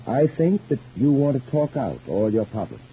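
An actor playing a patient, quietly concerned doctor in an old radio soap, reasoning in a measured, placating way. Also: male voice, medium pitch, very animated. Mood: concerned, firm. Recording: dull, noisy old radio recording.

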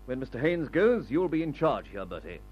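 Husky, commanding aviator leader. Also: male voice, mid-range voice, big pitch swings. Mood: brisk, decisive. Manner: brisk, authoritative, instructing. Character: brisk, commanding aviator leader, calm and decisive. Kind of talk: old-time radio adventure serial acting. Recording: dull old radio recording.

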